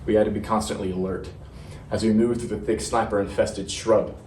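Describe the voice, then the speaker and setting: A man playing a haunted young Marine veteran, telling of war in a halting, stammering dramatic monologue with heavy pauses. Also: on the low side, little pitch movement, voice pushed down, lightly acted. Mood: horrified, haunted. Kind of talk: serious dramatic interpretation monologue. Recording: roomy, distant mic, faint noise.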